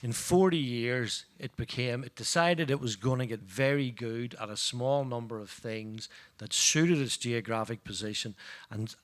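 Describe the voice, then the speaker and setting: A man from Belfast with a faint, softened Northern Irish accent, speaking on a business panel in a measured, storytelling way with dry humour. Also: medium pitch. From Northern Ireland. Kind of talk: panel discussion.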